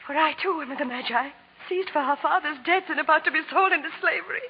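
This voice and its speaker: A woman's voice: high voice, fast talker. An actress playing a terrified young captive girl, pleading and crying out in 1940s radio drama style. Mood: desperate pleading. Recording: muffled 1940s radio recording, faint noise.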